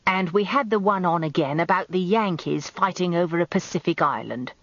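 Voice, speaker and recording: A woman's voice: on the low side, fairly quick, no room sound, highs cut off. A British woman voicing a short, weaselly male handyman, apologetic and deferential as a witness in a radio story.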